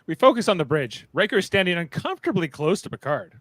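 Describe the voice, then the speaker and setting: Lively, high-pitched male narrator. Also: quick pace, no echo. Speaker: narrator reading stage directions. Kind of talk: scripted narration of a radio play live read.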